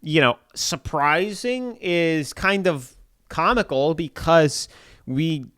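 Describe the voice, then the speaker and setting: A congested man with a slightly high, smooth voice runs through market charts in a chatty, repetitive way. He keeps coming back to the same phrases. The sound is dry and close, with no room around it. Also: lively intonation, takes it slowly, fairly bright tone, dry recording. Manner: chatty, repeats filler "like", pushes viewers to subscribe. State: congested from a cold. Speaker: crypto channel host. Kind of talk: casual market commentary.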